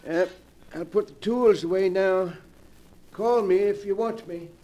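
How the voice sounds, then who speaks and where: A man's voice: high-pitched, a little slow, dark tone. An actor playing a humble, deferential old male servant and gravedigger in a 1940s radio mystery. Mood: humble, somber. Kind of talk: 1940s radio character acting. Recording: dull, muffled old radio recording.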